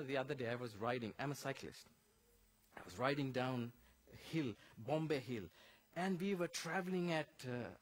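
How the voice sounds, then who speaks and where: Middle-aged man from Sri Lanka, high voice, church health seminar speaker, chatty and explanatory. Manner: conversational and explanatory, breaks down medical terms.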